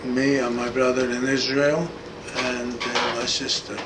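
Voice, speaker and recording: A man in his eighties from Hungary, a native Hungarian speaker with a Hungarian accent, giving brief, halting answers in a testimony interview. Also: medium pitch, level tone. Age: 80s. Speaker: interviewee, Holocaust survivor. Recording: clearly noisy room recording.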